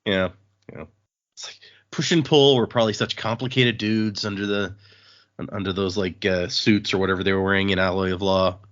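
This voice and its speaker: Low-voiced man hosting a casual podcast. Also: steady tone, a little fast, fairly bright tone, highs cut off. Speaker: podcast host. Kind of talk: casual book discussion.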